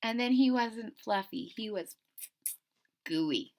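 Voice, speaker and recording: A woman reading a children's story aloud with exaggerated, drawn-out emphasis and chatty asides to her listeners. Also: fairly high pitch, lively intonation, a little mellow, a little hiss or hum. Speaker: storybook read-aloud host.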